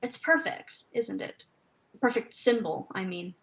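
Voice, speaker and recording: Stage play: woman performing a scornful woman author, articulate, higher voice, impassioned lecturer, assertive. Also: a little slow, a bit bright, rough recording. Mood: eager, dry. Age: young adult. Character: assertive, scornful woman author researching landscape garden history.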